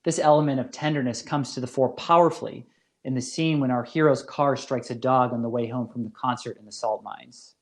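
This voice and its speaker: A man narrates a scripted lecture in the manner of a professor. His voice is mid-pitched, and his phrasing is formal and deliberate, with careful emphasis on key words. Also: steady tone.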